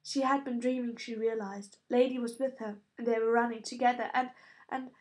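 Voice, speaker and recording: A woman's voice: fairly high pitch, steady tone, moderate pace, a little mellow. A woman reading scripted fiction as an audiobook narrator.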